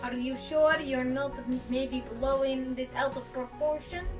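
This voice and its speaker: A woman's voice: high-pitched, steady tone, a little slow, far from the mic, music in the background. A young woman bantering casually and reading game dialogue aloud in a joking, irreverent way. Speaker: let's play co-host.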